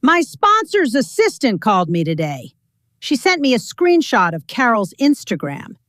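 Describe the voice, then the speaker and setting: A woman playing an exasperated, high-pitched grown daughter. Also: huge pitch swings, normal speed, fairly bright tone, no echo, clean studio sound. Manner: incredulous, urgent.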